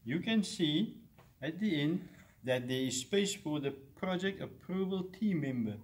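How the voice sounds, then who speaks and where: A man's voice: fairly high pitch, animated, a little slow, a little hiss or hum. A middle-aged man giving scripted instructions as a science fair presenter, recorded on a distant camera microphone. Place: classroom or lab with display boards, indoors.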